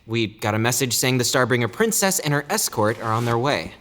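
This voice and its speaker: A man playing an awkward, apologetic attendant to a noble in an audio drama, speaking haltingly. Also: mid-range voice, expressive, fairly bright tone, close to an everyday voice. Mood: apologetic, hesitant.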